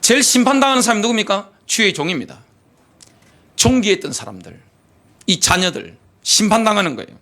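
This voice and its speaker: Gravelly high-pitched man preaching with fervor. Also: sing-song, brisk. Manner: impassioned preaching with rhetorical questions and sudden drops to quiet. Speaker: pastor. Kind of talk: sermon. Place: church sanctuary.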